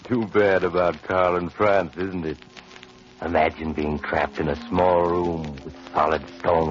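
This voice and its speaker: A ghoulish horror host from an old radio show, slow and gloating. His voice is extremely deep, with spooky music clear behind it and a muffled 1940s broadcast sound. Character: sinister male horror host, ghoulish and mocking. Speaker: narrator.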